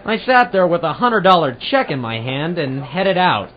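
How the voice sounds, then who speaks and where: An actor playing a hard-boiled, wisecracking private eye in an old-time radio detective drama, with a wry, sardonic delivery. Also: male voice, on the high side, big pitch swings, normal speed. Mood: narrating dryly. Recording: muffled amateur radio-drama recording with music.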